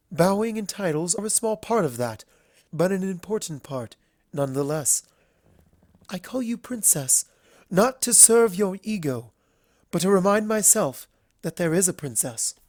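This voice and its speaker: A narrator acts out a refined female unicorn from a storybook. She speaks in formal, measured phrases, solemn and earnest, choosing each word with deliberate care. The sound is close and dry. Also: mid-range voice, animated, a little mellow, no room sound, natural voice. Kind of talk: dramatic fan fiction reading.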